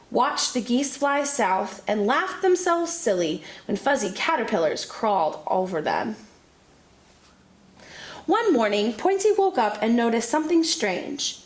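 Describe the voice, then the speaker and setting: Teacher read-aloud narrator, woman, unhurried and expressive, roomy sound. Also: a little high. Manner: slow, expressive storytelling. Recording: echoey room.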